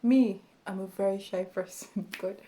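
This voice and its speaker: A woman with a small, soft voice talking casually to her camera as a YouTube tutorial creator, chatty and encouraging with many fillers. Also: mid-range voice, steady tone, normal speed, a little hiss or hum. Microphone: camera in front of her.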